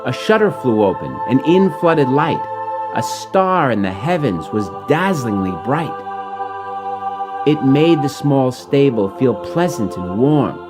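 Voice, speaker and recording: A man reading his own rhyming children's story in an expressive, dramatic way. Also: medium pitch, slow pace, over music.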